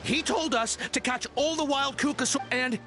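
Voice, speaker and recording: High-voiced, stammering cowardly henchman. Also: moderate pace, pushed and bright. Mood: panicked. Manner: stammering, hesitant, nervous. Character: cowardly, nervous male henchman, eager to appease. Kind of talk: video game voice acting. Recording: game music behind the voice.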